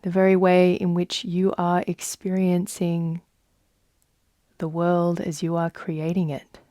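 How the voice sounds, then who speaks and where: A woman leading a guided meditation in a soft, soothing manner, with long unhurried pauses. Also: on the low side, monotone, no room sound. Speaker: yoga nidra guide.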